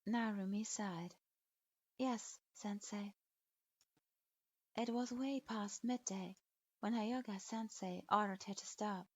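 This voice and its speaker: A woman reading a fan fiction story aloud in an even storytelling manner. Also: mid-range voice, unhurried, no echo. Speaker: story reader. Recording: dull lo-fi.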